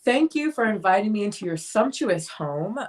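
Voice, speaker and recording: A woman's voice: medium pitch, big pitch swings, moderate pace, a little hiss or hum, lightly acted. A woman playing an aloof, sardonic bohemian artist in a dramatic play reading. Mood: haughty.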